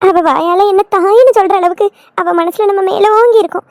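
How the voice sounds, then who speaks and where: A woman's voice: very high voice, fairly quick, darkish tone, dry recording, studio quality. A woman playing a doting older village mother-in-law in a family skit, motherly and emotional, with a southern Tamil Nadu accent. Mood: remorseful. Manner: motherly, fussing, emotional, coaxing.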